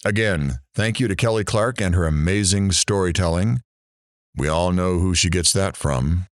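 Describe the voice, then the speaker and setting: A man's voice: sits low, normal speed, dry recording, close to an everyday voice. A man playing a kindly, courteous studio host in an audio drama, speaking encouragingly. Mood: upbeat sign-off. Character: kindly, appreciative male studio show host. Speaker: show host. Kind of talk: audio drama acting as a presenter.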